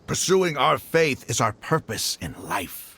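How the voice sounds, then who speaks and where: A man's voice: medium pitch, very animated, unhurried, creaky, pushed and bright, slightly rough, exaggerated voice. A man voicing an arrogant, zealous desert mercenary leader in a game cutscene, scornful and mocking. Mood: proud.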